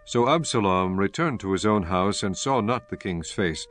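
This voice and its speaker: A male narrator reads scripture in a solemn scripted style. His voice sits on the low side, with faint music behind it. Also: expressive, moderate pace, fairly bright tone.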